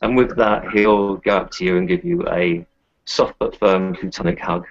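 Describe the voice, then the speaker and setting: A man's voice: low-pitched, steady tone, moderate pace, lo-fi sound. A man narrating his character's actions as a player in an improvised roleplaying game.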